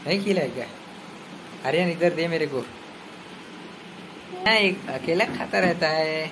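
A man's voice: on the high side, a little slow. A man in his thirties talking playfully with his young son in a casual family vlog, filmed on a handheld phone at home. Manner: playful, teasing, chatty. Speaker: vlogger and father. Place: small home room indoors. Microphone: phone held at arm's length. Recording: phone recording with clear background noise.

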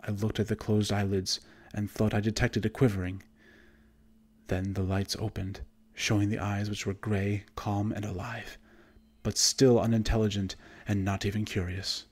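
Male narrator for suspense and horror fiction: slightly deep, measured delivery, formal literary reading. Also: little pitch movement, a little mellow. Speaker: audiobook narrator and voice actor. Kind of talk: scripted narration of a horror story.

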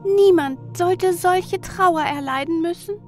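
A woman's voice: extremely high pitch, slow pace, darkish tone, faint music behind. A woman playing a caring, commanding sun goddess in an audio drama, earnest and reproachful. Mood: worried. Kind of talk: German audio drama acting.

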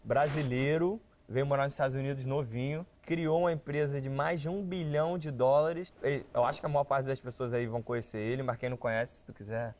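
A young man hosting a casual chat outdoors, loud and excitable, swearing freely. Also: mid-range voice, brisk, hardly any pauses, slight background noise. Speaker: host. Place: outdoor driveway, seated on a couch.

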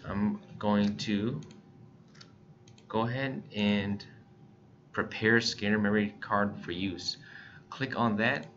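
A low-pitched American man with a bright, forward edge to his voice presents a casual software tutorial. He gives plain, step-by-step instructions. The recording is a little dull and lo-fi. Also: a little hiss or hum.

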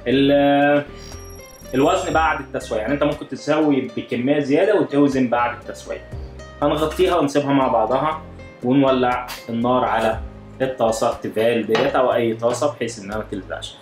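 Fast-talking Egyptian man cooking on camera. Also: mid-range voice, echoey room, faint music behind. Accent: Egyptian Arabic. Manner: chatty, explains each step while cooking. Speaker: cooking show host. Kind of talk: casual cooking demonstration. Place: home kitchen at the stove.

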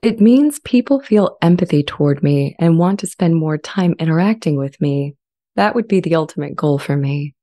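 A woman playing a gentle, earnest artificial intelligence in a dramatized reading, speaking in measured, articulate phrases. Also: low-pitched, lively intonation. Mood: earnest longing. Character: sentient AI language model, earnest, gentle, eager to be accepted. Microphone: close studio microphone with pop shield.

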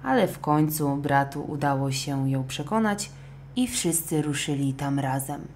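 A woman narrating a scripted children's audio story in an expressive, dramatic way. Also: sits low, a little slow, slight background noise.

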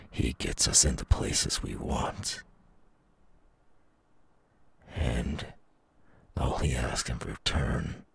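A man voicing a menacing, gloating young demon villain in an ASMR audio roleplay, with a husky, breathy, gravelly voice. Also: very deep voice, a bit bright, no echo, full character voice. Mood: smug, scornful.

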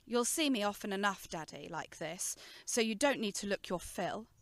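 A woman's voice: mid-range voice, lively intonation, moderate pace, vocal fry, no room sound. A woman playing a playful, affectionate teenage daughter in a radio play, teasing and coaxing in a girlish way. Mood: teasing, coy.

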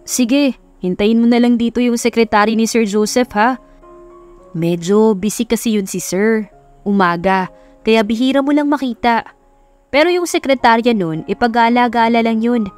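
A woman's voice: fairly high pitch, moderate pace, natural voice. A woman voicing an informal, accommodating male mansion guard in an audiobook story. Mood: casual, helpful. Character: casual, helpful male mansion security guard. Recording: music under the voice.

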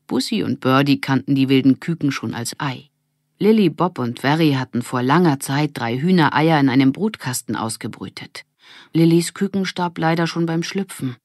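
A woman reading a children's audiobook as narrator, with expressive scripted storytelling. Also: deep voice, no echo, clean studio sound.

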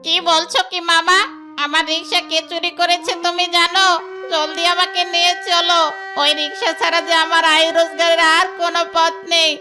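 A woman voicing a naive, tearful young male fox in a children's cartoon, pleading in a whiny, drawn-out way. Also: very high-pitched, flat delivery, bright, forceful tone, soft background music. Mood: eager, puzzled. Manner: whining, wailing, drawn-out pleading.

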